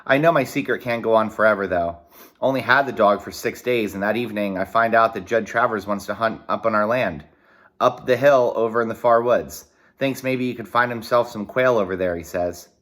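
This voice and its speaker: A man reading a children's novel aloud in a folksy, expressive way, with short explanatory asides. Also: lowish voice. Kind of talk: read-aloud narration with brief explanatory asides.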